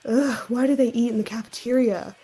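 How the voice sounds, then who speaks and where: A woman acting a cocky, mischievous, melodramatic young spellcasting housemate in a fantasy comedy audio drama, husky, teasing and theatrical. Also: on the high side, steady tone, brisk, dark tone, soft background music. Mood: mock-dramatic whining.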